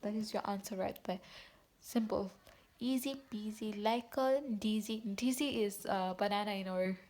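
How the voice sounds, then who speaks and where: Woman, math tutor, casual and chatty, fixes her slips out loud, mid-range voice. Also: medium pitch, moderate pace. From Tanzania. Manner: chatty, self-correcting, talks to viewers directly. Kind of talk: casual teaching video.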